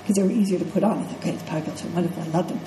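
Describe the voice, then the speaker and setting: Congested middle-aged woman, nursing instructor, low mellow voice, casual lecture in a roomy classroom. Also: racing, slight background noise. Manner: conversational, checks in with "okay?" and "right?". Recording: echoey classroom with faint ambience.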